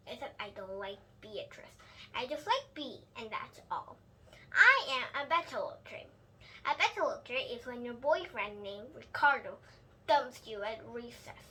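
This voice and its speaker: A woman reading a children's book aloud in an expressive storytelling style, with a few slips. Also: high-pitched. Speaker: storybook reader. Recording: clear background noise.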